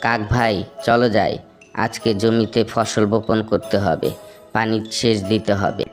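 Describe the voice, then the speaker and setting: A man's voice: lowish voice, steady tone, measured pace, faint music behind, lightly acted. A man voicing an honest, hardworking, trusting small bird in a cartoon fable, earnest and polite. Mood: friendly, earnest.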